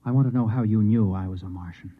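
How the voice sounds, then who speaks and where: Male actor for unstable, naive husband claiming to be Martian: husky, intense, insistent, dull old radio-drama sound. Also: fairly low pitch, fast talker, lightly acted. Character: earnest, unstable husband who believes he is Martian. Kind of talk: golden-age radio drama acting. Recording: dull vintage radio broadcast.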